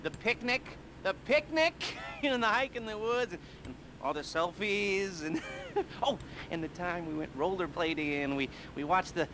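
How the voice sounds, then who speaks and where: A nerdy, neurotic heist planner from a crime video game. His voice sits high for a man and swings wildly up and down. He rambles in a halting, sardonic way, over loud background noise. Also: animated. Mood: sentimental, rambling. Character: nerdy, neurotic, excitable criminal heist mastermind with a cane. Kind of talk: video game cutscene and mission dialogue acting.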